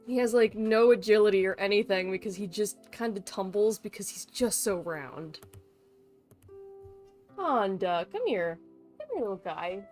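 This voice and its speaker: A female streamer chats informally over background music at a middling pitch. She rambles along playfully, teasing and swearing freely as she goes. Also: lively intonation, a little slow, darkish tone. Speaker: livestreamer. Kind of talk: casual gaming stream chat.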